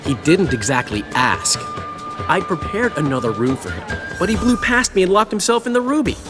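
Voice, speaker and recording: Chatty theatrical bard, highish male voice, hugely animated intonation, video game, music behind. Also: normal speed. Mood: exasperated, wry. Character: flamboyant chatty male bard turned innkeeper. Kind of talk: dramatic video game acting.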